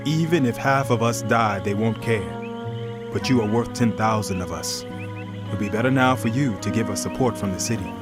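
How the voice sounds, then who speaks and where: A man's voice: fairly low pitch, moderate pace, loud music behind the voice, close to an everyday voice. An actor playing a loyal soldier pleading earnestly and insistently with his king in a dramatized audio Bible.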